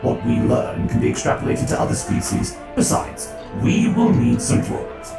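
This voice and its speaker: A man's voice: mid-range voice, very animated, moderate pace, gravelly. A man voicing an ancient undead machine scholar, erudite, curious and amused, in a theatrical dramatic reading. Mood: lecturing. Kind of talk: dramatic audiobook-style reading. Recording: distant echoey voice under loud music.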